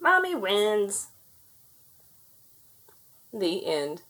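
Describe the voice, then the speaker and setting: A woman narrating a picture book aloud for children in an expressive, performed style. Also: medium pitch, very slow. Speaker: storybook reader.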